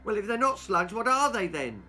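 Man putting on a shrill cheeky schoolgirl. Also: very animated, normal speed, bright, forceful tone, husky, faint music behind, full character voice. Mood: cheeky, questioning. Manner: shrill, exclamatory. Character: cheeky, squealing, dramatic young schoolgirl.

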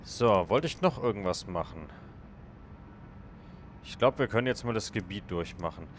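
A man's voice: on the low side, lively intonation, moderate pace, a little hiss or hum. A man casually commenting on his game as he plays, thinking aloud and chatting with viewers. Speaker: let's play gamer.

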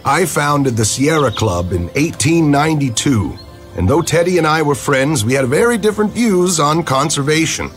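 Man playing higher-voiced historical American gentleman. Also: expressive, moderate pace, soft background music, natural voice. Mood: reflective. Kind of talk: scripted comic-book history dramatization.

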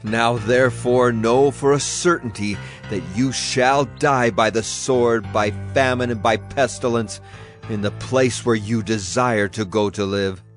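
An actor playing a stern Hebrew prophet in a dramatized audio Bible, solemn and declamatory. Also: male voice, medium pitch, steady tone, a little slow, soft background music, natural voice. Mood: grave, accusing.

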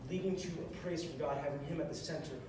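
Young man, earnest preacher, slightly high voice, boomy hall, far-off camera mic, room hiss. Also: steady tone, fast talker. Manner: earnest, repeats phrases for emphasis. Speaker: short sermon speaker. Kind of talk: sermon. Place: large carpeted hall, on a stage at a music stand. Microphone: far camera across the room. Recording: echoey hall from far off, with noise.

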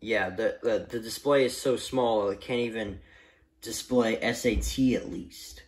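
A man giving a casual, unscripted review in a halting, repetitive and emphatic way, recorded in a room at home. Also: on the low side. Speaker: vlogger reviewing gadgets. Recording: roomy, echoey home recording.

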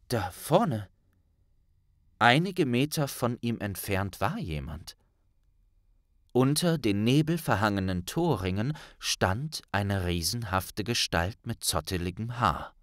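A man reading a scripted story as a dramatic audiobook narrator, deliberate and suspenseful. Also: lowish voice, a bit bright, dry recording, studio quality.

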